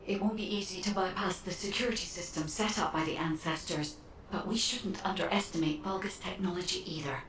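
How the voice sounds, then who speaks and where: A woman's voice: fairly low pitch, a little fast, a bit bright, echoey room, distant mic, slight background noise, natural voice. An actress playing a composed female guide in a video game, speaking formally and precisely with analytical focus. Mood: serious, urgent. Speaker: actor voicing a game character. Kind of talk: scripted game dialogue.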